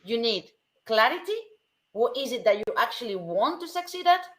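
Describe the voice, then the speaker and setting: A woman from Germany, co-hosting a casual discussion, speaking emphatically and slowing down to stress key words. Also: on the high side, big pitch swings, fairly bright tone. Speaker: co-host. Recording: roomy video call audio.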